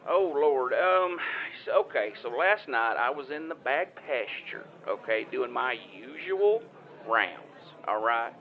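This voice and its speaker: A man's voice: mid-range voice, animated. A man playing a devout, flustered farmer with a Southern US accent, stammering and rambling through a phone call in an improvised comedic audio drama. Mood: agitated, earnest. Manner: rambling, stammering, halting, folksy. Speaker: caller.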